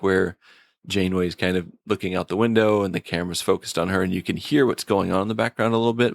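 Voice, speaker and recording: A man's voice: low-pitched, gravelly, fairly bright tone, no echo, clean studio sound. A man giving a casual, conversational review as a podcast reviewer. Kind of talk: casual episode review chat.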